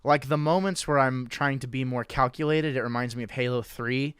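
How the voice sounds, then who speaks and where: Smooth-voiced joking male podcast host. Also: mid-range voice, a little fast, a bit bright, no room sound, studio quality. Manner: loose and joking, with many restarts. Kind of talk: casual podcast chat.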